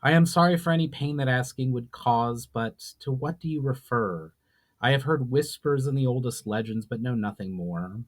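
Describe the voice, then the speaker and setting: A man's voice: medium pitch, normal speed, natural voice. An earnest fantasy hero, acted by a male reader, presses for answers. His speech is formal, inquisitive and urgent, in the manner of a dramatic storybook reading. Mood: urgent, curious. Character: earnest questioning male adventurer seeking answers.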